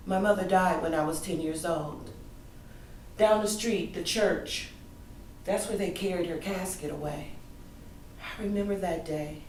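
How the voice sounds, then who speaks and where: A woman performing a theatrical monologue as a grieving grown daughter, wounded and yearning, telling her story emotionally with deliberate dramatic pauses. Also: lowish voice, darkish tone, natural voice. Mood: somber. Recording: echoey room, distant mic, faint noise.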